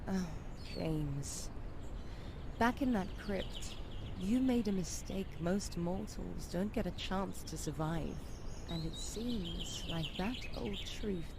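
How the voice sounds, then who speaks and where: A woman's voice: slow pace, creaky, background noise. A woman playing an ancient noble vampire in a video game, coolly commanding, with an imperious, sardonic and theatrical delivery. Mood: cool, measured. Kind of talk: scripted video game voice acting. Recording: birdsong and rumbling ambience behind.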